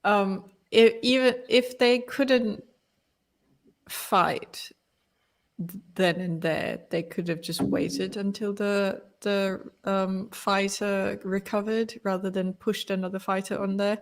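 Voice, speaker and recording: A woman's voice: medium pitch, steady tone, slow pace. A woman who speaks Malay as a first language, co-hosting a podcast in a casual, opinionated chat full of restarts. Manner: talkative, opinionated, many restarts. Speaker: podcast co-host.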